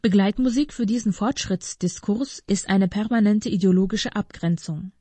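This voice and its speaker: A woman reading scripted political commentary aloud in a formal, measured manner. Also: mid-range voice, dark tone, breathy edge, no room sound.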